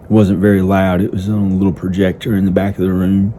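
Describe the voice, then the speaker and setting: A man improvising a first-person story in a halting, simple, repetitive manner, with hesitant pauses. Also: low-pitched, level tone, dark tone. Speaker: amateur storyteller narrating own improvised story. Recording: noticeable background noise. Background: ambient sound effects.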